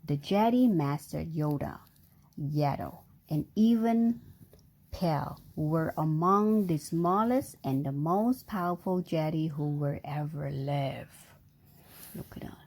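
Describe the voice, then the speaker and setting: Slow, low-pitched woman reading a storybook. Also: darkish tone. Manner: engaging, prompting with questions and sound effects. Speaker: parent reading aloud to a young child. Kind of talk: slow storybook read-aloud with chatty asides to a child.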